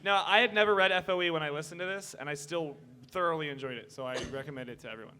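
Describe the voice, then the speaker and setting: Man, panel moderator, quick pace, bright higher voice, casual, convention room. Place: indoor convention meeting room. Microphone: table microphone.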